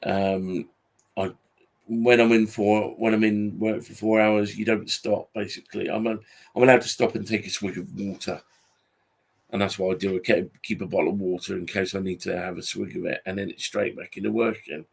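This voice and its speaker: A British man in his forties with a husky, fairly flat delivery. He rambles casually in a grumbling, weary way, often stopping and repeating himself as he goes. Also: fairly low pitch. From Britain. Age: 40s. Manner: halting, repetitive, grumbling. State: tired. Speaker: YouTube channel host. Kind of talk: casual unscripted monologue.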